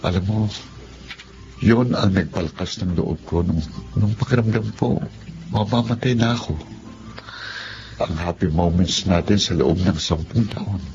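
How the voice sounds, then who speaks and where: A man's voice: low-pitched, measured pace, pauses often, a little mellow. A man playing an earnest, devoted lover in a Filipino radio drama, speaking sincerely. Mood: grateful, tender. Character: earnest, devoted man, grateful survivor and husband. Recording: dull, noisy radio drama recording.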